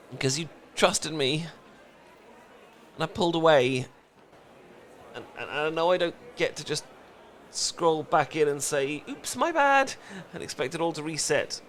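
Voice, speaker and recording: A British man acting a flustered, emotionally repressed and lovesick best friend in a comedic romantic audio roleplay, stammering and pleading in halting phrases. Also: fairly high pitch, fairly bright tone, a little hiss or hum. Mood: awkward, apologetic.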